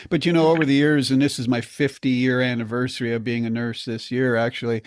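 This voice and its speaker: An older man hosting a podcast interview, halting and often repeating words as he starts his sentences. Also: mid-range voice, level tone, dry recording, clean studio sound. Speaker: podcast host, nurse.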